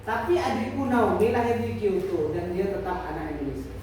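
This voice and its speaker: A man's voice: high voice, animated, brisk. A man voicing an earnest young schoolgirl from a children's story, in simple declarative phrases. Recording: distant, echoey, noisy room recording.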